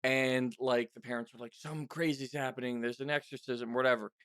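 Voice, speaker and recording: A man's voice: medium pitch, steady tone, a little fast, fairly bright tone, clear, resonant tone, dry recording. Male podcast host, informal and opinionated, ordinary mid-range voice, chatty interview delivery, very dry sound. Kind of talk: casual conversational interview.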